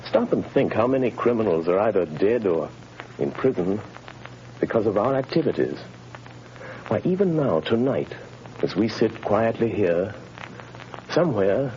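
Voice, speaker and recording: An actor playing a suave, earnest gentleman crime fighter in a 1930s radio drama. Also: fairly low pitch, big pitch swings, moderate pace, pauses often, slight background noise. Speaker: lead character, wealthy crime fighter. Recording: muffled 1930s radio broadcast.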